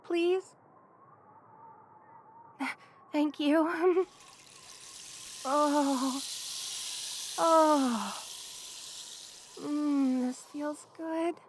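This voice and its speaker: High-voiced, affectionate young snake girl played by a woman. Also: takes it slowly, long pauses, a little hiss or hum. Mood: cosy, grateful. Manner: hesitant, soft-hearted, stammering as if shivering. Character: young female lamia, shy affectionate childhood best friend. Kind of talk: intimate ASMR roleplay.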